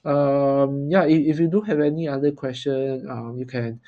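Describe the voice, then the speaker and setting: A man from Singapore with a Singaporean accent gives informal commentary as a trader, in a halting, repetitive, stop-start way. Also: mid-range voice, fairly even intonation, lo-fi sound. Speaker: forex trader presenting market analysis. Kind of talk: informal live chart commentary.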